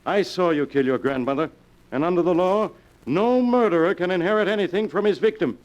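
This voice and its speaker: Actor as earnest, indignant man, fairly high voice. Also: fairly quick, muffled recording, lightly acted. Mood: stern, earnest. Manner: urgent, indignant. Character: horrified, earnest male witness confronting a killer. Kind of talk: 1940s radio acting. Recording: old 1940s radio recording.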